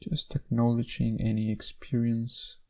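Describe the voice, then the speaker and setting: A man leading a guided meditation in a measured, instructional way, with gentle pauses between phrases. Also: mid-range voice, completely flat delivery.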